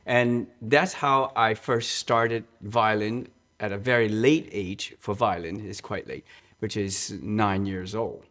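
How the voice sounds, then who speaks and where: A man's voice: on the low side, fairly even intonation, fairly bright tone. Earnest man, church music director. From Hong Kong. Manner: earnest, restarts and repeats words, slows on key points. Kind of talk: interview, personal storytelling.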